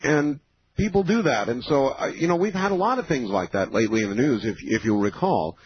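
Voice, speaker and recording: A man of fifty hosting a late-night call-in radio show, explaining in a measured way with many pauses. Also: medium pitch, lively intonation, husky. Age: 50s. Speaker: radio talk show host. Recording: dull lo-fi radio broadcast.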